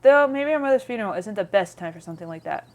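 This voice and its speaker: A woman's voice: medium pitch, animated, fast. A woman streamer reading game narration aloud with playful, chatty casual commentary.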